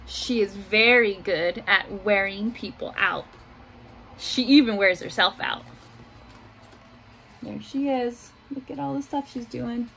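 A woman in her thirties reading a children's picture book aloud in simple, deliberate phrases, adding little asides for young listeners. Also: medium pitch, gravelly, fairly bright tone, poor audio. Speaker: school staff member reading aloud to pupils. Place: small home office room, indoors. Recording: noticeable room noise behind voice.

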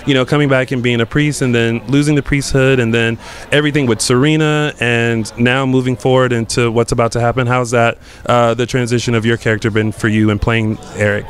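A man's voice: mid-range voice, steady tone. Chatty man hosting an event interview. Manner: chatty, eager, quick to agree. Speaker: interviewer host. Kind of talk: casual interview chat. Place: indoor event lounge. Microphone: handheld mic.

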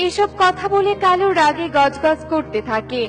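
A woman's voice: very high-pitched, animated, a little slow, soft background music. A woman narrator reading a scripted children's story.

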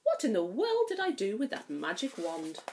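A woman voicing a gentle old fairy godmother. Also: mid-range voice, huge pitch swings, moderate pace. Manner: gentle and motherly. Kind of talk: storybook read-aloud.

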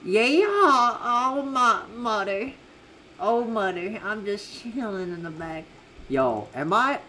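Slangy young male streamer, higher-pitched. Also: sing-song, takes it slowly, pushed and bright, a little hiss or hum. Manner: slangy, reactive, repeats himself. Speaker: livestreaming gamer. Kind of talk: casual live game commentary, chatting with viewers.

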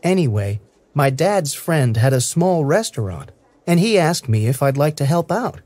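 A man reading short first-person lines slowly and clearly for English learners. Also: fairly high pitch, very animated, a little mellow, no room sound. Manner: clear, careful, evenly paced delivery. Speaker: English listening practice reader. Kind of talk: slow scripted reading for learners.

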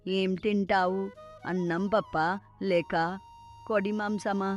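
A kindly, practical village mother from a cartoon tale, played by a woman. She talks in a measured way with little rise or fall, over background music. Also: medium pitch, level tone, close to an everyday voice. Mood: caring. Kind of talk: animated moral story dialogue. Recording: music under the voice.

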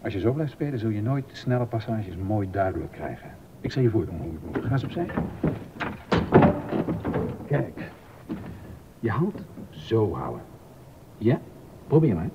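An actor playing a stern, exacting baroque composer and organist in a docudrama, emphatic and easily indignant. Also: male voice, very animated, a little slow, long pauses. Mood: exacting, instructive. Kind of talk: docudrama radio acting. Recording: dull audio with rumbling noise.

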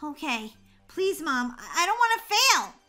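A woman's voice: high-pitched, animated, normal speed, pushed and bright, faint music behind. A woman voicing a whiny, dramatic schoolgirl who pleads and exclaims in an acted family story. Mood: pleading, upset.

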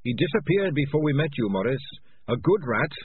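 A man voicing a small, earnest young male rat in a storybook, soft-spoken and sincere, recorded with a phone-like narrow sound. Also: mid-range voice, very animated, fairly quick, slight background noise, voice pushed up, close to an everyday voice. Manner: soft, sincere, slightly raised.

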